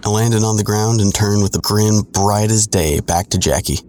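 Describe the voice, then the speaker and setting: A man's voice: on the low side, normal speed, darkish tone, slight background noise, lightly acted. A man playing a wry, self-deprecating storyteller in an audio drama, narrating his reckless youth with sardonic digressions. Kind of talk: scripted first-person audio drama narration.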